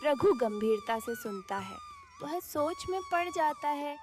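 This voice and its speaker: A woman's voice: high-pitched, wildly swooping pitch, a little slow, music in the background. A woman reading scripted narration for a cartoon moral story as its storyteller.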